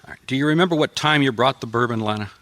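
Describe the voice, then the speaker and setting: A man's voice: medium pitch, very fast, fairly bright tone, close to an everyday voice. An actor playing a blunt, commanding private detective and ex-policeman in a radio mystery. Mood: businesslike, probing. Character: no-nonsense male private detective, former city policeman.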